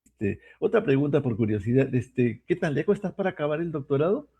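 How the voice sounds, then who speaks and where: A man with a husky, creaky voice that swings in pitch. He talks quickly and casually as a participant putting a question at a seminar. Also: very animated, dark tone, highs cut off.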